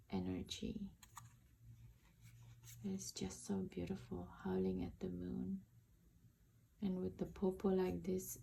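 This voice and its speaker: A woman with an Indonesian accent talks slowly through a tarot deck in a casual, halting way. Her voice is fairly low with a gravelly fry, and there is faint background noise. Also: mellow. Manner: hesitant, halting, searching for words. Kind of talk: casual unboxing and flip-through commentary.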